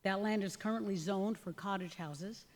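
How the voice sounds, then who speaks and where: Loud, firm woman at a podium mic, prepared remarks, reverberant chamber. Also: mid-range voice, moderate pace. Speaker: public commenter. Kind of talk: prepared public comment. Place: council chamber. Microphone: podium microphone.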